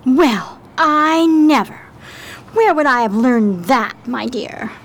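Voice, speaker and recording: A chic, phony-posh American con artist acted by a woman for a 1920s crime drama. Her voice is high and swoops with la-di-da airs, at a leisurely pace. There is slight noise behind her. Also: expressive, slow pace, exaggerated voice. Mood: affronted. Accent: American, affected upper-crust. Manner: haughty, la-di-da.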